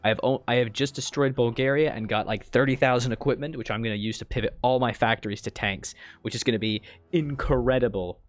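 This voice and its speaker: A man in his thirties with a gravelly voice, livestreaming a strategy game from home, chatty and joking while reading chat and thanking followers. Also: mid-range voice, steady tone, fairly quick, dry recording. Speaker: streamer. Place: home streaming room, indoors.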